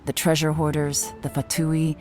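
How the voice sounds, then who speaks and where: A composed, commanding female investigator, played by a woman in a video game. Her low voice is measured and analytical, with music clearly behind it. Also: flat delivery, a little mellow, natural voice. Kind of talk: scripted video game dialogue.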